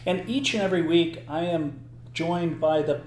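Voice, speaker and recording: A man's voice: fairly high pitch, a little mellow, roomy sound, slight background noise. A man hosting a recovery podcast, chatting casually and playfully with a co-host and joking often. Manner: playful, quick with jokes and laughs.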